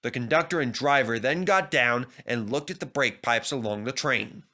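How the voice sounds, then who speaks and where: A man's voice: medium pitch, normal speed, bright, forceful tone. A man narrating a scripted children's story expressively as a storybook reader.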